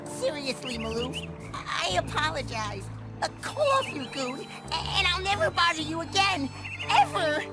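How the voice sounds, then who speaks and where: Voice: high voice, very animated, unhurried, full character voice. A performer voicing a cornered, whiny boy pleading desperately in a cartoon video game. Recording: loud game music behind.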